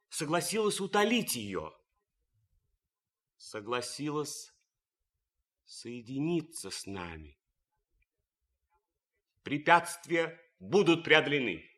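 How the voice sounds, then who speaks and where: Восторженного офицера-идеалиста, говорит медленно. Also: male voice, a little high, sharp tone, full character voice. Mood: fervent, insistent. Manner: solemn, impassioned, deliberate declamation. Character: idealistic German officer, cultured music lover, ardent and reverent. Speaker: actor in radio play. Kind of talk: theatrical radio drama monologue.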